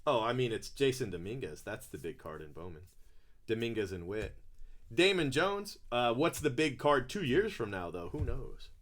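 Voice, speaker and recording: A man's voice: medium pitch, big pitch swings, moderate pace. Chatty man calling out card pulls. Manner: chatty, calls out card names while opening packs. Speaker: card break host. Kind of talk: casual live card-break chat.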